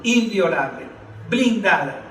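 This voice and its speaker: A man's voice: sits high, lively intonation, slow, fairly bright tone, roomy sound, far from the mic, rough recording. A man giving impassioned political commentary as a podcast panelist, emphatic and declamatory, repeating phrases for force.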